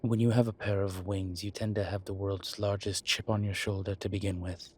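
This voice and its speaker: Man voicing a male incubus professor, audio roleplay, low husky voice, unhurried delivery, wry, suave, intimate. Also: little pitch movement, close to an everyday voice. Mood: measured explaining. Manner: measured, wry, hesitant pauses and sighs.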